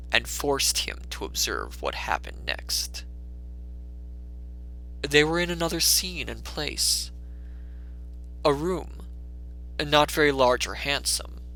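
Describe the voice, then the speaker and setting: A man's voice: medium pitch, creaky, sharp tone, low-quality recording. A man reading a classic story aloud as a storyteller, in theatrical, scripted dramatic narration.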